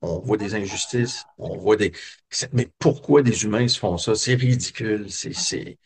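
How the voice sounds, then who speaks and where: Un homme québécois, invité d'une entrevue, qui raconte son enfance d'un ton réfléchi, avec beaucoup d'hésitations. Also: male voice, on the low side, moderate pace, creaky, breathy edge. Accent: Québécois French. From Quebec. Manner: reflective, many hesitations and fillers. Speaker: guest. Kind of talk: personal storytelling interview.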